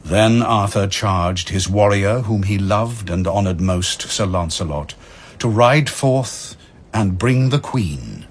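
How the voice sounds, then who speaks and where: A man giving solemn, measured dramatic narration of verse as a storyteller. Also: on the low side, husky.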